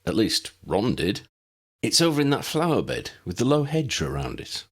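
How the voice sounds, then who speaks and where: Man as a calm, husky young wizard leader. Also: lowish voice, moderate pace, voice pushed up, heavily acted. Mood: reassuring. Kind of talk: audiobook character dialogue.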